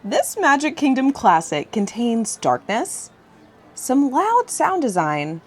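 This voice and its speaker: A woman's voice: high voice, big pitch swings, takes it slowly, slightly rough. A young woman hosting a theme park vlog, upbeat and reassuring, her voice a little scratchy as she is losing it, recorded outdoors on a rainy day. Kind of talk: friendly theme park ride review.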